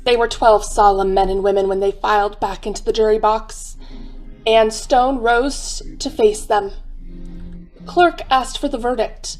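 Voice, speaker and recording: A woman narrating a radio mystery in a measured, formal, dramatic storytelling style. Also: a little high, fairly even intonation, soft background music, rough recording.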